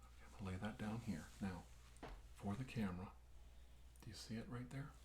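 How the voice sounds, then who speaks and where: Hushed, soothing male doctor played by a man. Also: lowish voice, reverberant, a little hiss or hum, close to an everyday voice. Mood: soothing, attentive. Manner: soothing, hushed, slow and gentle, often whispering. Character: calm, reassuring male doctor treating an alien-abduction patient. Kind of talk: ASMR medical roleplay, soft-spoken and whispered.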